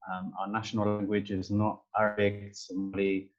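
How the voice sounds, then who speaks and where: Low, flat-pitched London man, lo-fi audio. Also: moderate pace. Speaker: panel speaker. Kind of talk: informal panel talk giving opinions.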